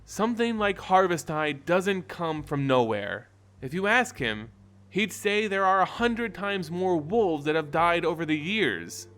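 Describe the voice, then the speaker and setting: A man voicing an earnest, conflicted werewolf heroine in an audio story, halting and heartfelt. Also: high-pitched, animated, fairly bright tone. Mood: troubled, earnest. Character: earnest, troubled werewolf woman, idealistic and conflicted. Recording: music behind the voice.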